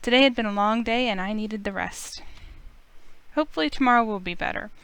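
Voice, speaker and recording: Weary young heroine read by a woman: clear tone, medium pitch, earnest visual novel narration and dialogue. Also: a little fast, a bit bright, natural voice. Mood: reflective, tired.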